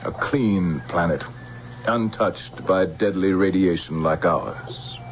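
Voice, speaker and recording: An actor playing a menacing, imperious general in an old-time radio drama, giving clipped commands and cold threats. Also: male voice, deep voice, slow pace. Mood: cold menace. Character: menacing, commanding male general plotting interplanetary war. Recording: muffled vintage radio broadcast, faint hiss.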